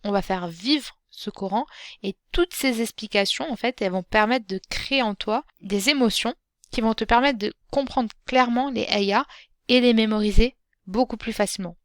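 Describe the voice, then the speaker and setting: Smooth-voiced woman hosting a podcast. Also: on the high side, moderate pace, no echo, clean studio sound. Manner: gentle, encouraging, speaks directly to the listener; frequent fillers and restarts. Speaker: podcast host and Quran teacher. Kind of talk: solo podcast talk.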